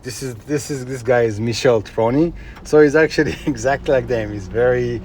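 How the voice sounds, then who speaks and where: A man's voice: medium pitch, normal speed, a little mellow, slight background noise. A man with a thick Israeli accent, a native Hebrew speaker, giving casual reaction commentary in a rambling, profane way with frequent restarts. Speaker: video reviewer.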